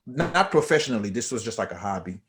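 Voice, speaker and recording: A man from Haiti speaks as a guest on a podcast and tells his story in a relaxed, unhurried way. He talks in an easy manner with frequent "um" and "you know" fillers. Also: mid-range voice, background noise. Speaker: guest chiropractor. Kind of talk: casual interview.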